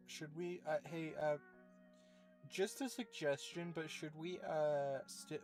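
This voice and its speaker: A game streamer giving informal, halting reaction commentary, thinking aloud. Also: mid-range voice. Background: soft game music.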